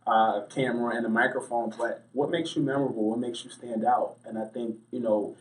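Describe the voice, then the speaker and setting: A male journalist giving conversational interview answers in a mid-range voice. He builds his points haltingly, often repeating words. The microphone sounds slightly distant. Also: medium pitch, fairly even intonation. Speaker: interview guest, sports and entertainment journalist.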